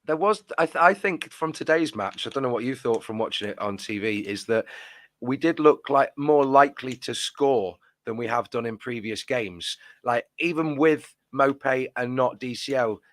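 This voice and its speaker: A man's voice: medium pitch, lively intonation, moderate pace, a bit bright, no room sound. A man giving post-match reaction as a fan channel co-host, rambling and trailing off into a mutter at the ends of his phrases.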